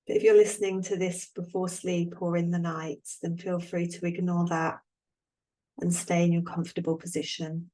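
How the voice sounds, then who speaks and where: A woman leading a guided relaxation as an instructor, speaking in a calm, soothing way with long, unhurried pauses. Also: lowish voice, low-quality recording.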